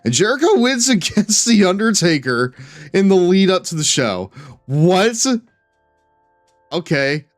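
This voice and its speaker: A man chatting casually with his chat while playing a wrestling game on stream, laid-back and drawling, and given to sudden excited shouts. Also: high-pitched, unhurried, pauses often, soft background music.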